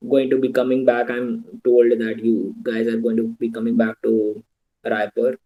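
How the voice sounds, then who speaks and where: A man's voice: medium pitch, completely flat delivery, normal speed, warm tone, low-quality recording. A young man with an Indian English accent, speaking earnestly as a guest speaker, giving students advice over a video call. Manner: earnest, advising, switches into Hindi asides.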